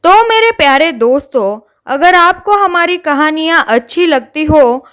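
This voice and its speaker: A woman's voice: high voice, animated, measured pace, a bit bright. A woman narrating a scripted children's story as a storyteller.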